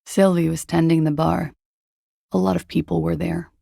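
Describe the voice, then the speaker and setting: An actress playing a weary, guarded young female corporate spy in a video game, with a smoky, deadpan, confessional delivery. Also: on the low side, normal speed, gravelly, no echo, studio quality. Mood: haunted, subdued. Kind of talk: video game character dialogue.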